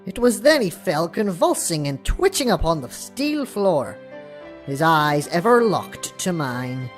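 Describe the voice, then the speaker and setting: Solemn ancient scribe, lowish and lively woman's voice. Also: a little slow, soft background music. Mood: hushed, fearful. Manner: solemn, theatrical, archaic diction. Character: solemn, self-important ancient scribe telling a chronicle. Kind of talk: scripted archaic storytelling in an audio drama.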